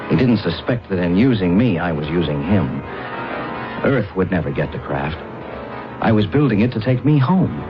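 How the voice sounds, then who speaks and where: A muffled old radio drama with loud music behind a low male voice. a proud alien pilot and secret conqueror, measured and formal, keeping his guard up. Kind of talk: old radio drama acting, narration and dialogue.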